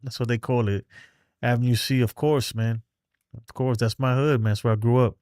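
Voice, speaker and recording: A man's voice: medium pitch, steady tone, normal speed, darkish tone, clear, resonant tone, dry recording, clean studio sound. A man from New City, hosting a casual livestream and bluntly reading and answering chat. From New York City. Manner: streetwise, blunt, reads chat aloud. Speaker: livestream host. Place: home in Texas.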